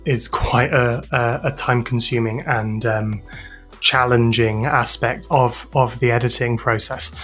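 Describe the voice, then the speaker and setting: A British man speaks as an interview guest in a medium-pitched voice. He explains his work in halting phrases, often repeating a word before moving on. Music plays behind him. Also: a bit bright. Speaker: interview guest, audio drama sound designer and composer.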